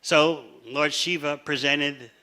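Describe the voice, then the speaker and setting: A man's voice: a little high, steady tone, slow pace, sharp tone. A man teaching a scripture class in a conversational way, asking his listeners questions and sometimes laughing. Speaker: spiritual teacher.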